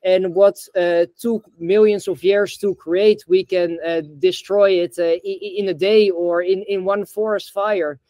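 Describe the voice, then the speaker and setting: A man's voice: sits high, steady tone, unhurried, few pauses, warm tone, no room sound. A young man from the Netherlands on a video call, talking hesitantly with lots of fillers and restarts as an interview guest. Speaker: guest, bamboo reforestation founder. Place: indoor room.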